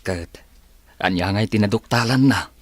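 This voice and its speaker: A man playing a humble, hardworking village father, measured and earnest, in a radio drama. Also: fairly low pitch, no room sound, natural voice. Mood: concerned.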